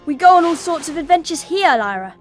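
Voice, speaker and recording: High-pitched little animal spirit. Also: female voice, animated, a little fast, over music. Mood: sceptical. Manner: dry, wary, sceptical. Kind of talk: video game voice acting.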